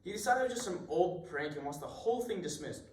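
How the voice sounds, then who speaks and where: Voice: mid-range voice, lively intonation, quick pace, natural voice. An amateur actor playing a courteous, methodical police inspector in a radio mystery. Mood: troubled, thoughtful. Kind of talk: amateur radio drama acting. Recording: echoey room, distant mic, faint noise.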